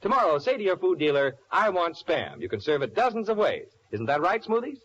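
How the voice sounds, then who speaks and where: A man's voice: sits high, very animated, a little fast, fairly bright tone. A man reading a sponsor advertisement as a 1940s radio announcer. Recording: muffled, slightly hissy old radio broadcast.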